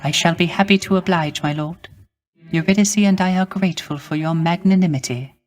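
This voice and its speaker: A man playing a gentle, melancholy male musician of the underworld in a video game, speaking formally and courteously with wistful, poetic, old-fashioned phrasing. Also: high voice, a little fast, lightly acted. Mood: humbly grateful. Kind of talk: scripted video game character acting.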